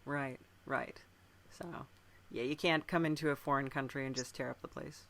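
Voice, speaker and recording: Male interviewer, medium pitch, forward bright tone, unscripted and halting delivery, feeling out each question. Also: fairly even intonation, no echo. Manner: halting, searching for words while framing questions. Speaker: podcast interviewer.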